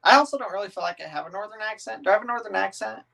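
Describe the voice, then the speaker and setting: A man in his thirties with a slightly raised pitch chats loosely with his viewers, reading their comments aloud and answering them one by one. He rambles, restarts sentences and leans on lots of "um"s, keeping everything relaxed and informal. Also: very fast, creaky. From northern United States. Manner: rambling, easygoing, many "um"s and restarts. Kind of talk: casual livestream chat.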